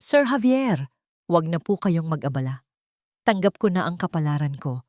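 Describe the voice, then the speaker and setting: A woman voicing a resigned older housemaid speaking quietly. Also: low voice, animated, moderate pace, no echo, clean studio sound.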